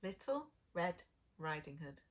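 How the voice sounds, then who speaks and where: A woman reading a storybook to young children as a preschool storyteller, with dramatic, drawn-out phrasing and hushed moments. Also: sits low, very animated, slight background noise.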